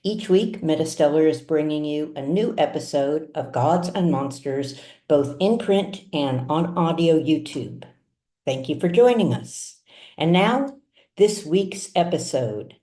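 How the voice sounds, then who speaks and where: A woman narrates a story in a low, mellow voice. She reads very slowly and deliberately, pausing for drama like an audiobook storyteller. The recording is noticeably roomy, with echo around the voice. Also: expressive. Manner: measured, deliberate phrasing with dramatic pauses. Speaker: author reading her own serialized novel.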